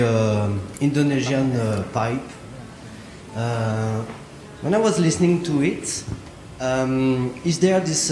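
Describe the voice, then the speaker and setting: A man's voice: mid-range voice, a little mellow. A man from France stands in the audience and asks his question, hesitating and filling the gaps with uhs. The sound echoes through a reverberant hall, with a faint hiss in the background. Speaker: audience member asking a question. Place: event hall.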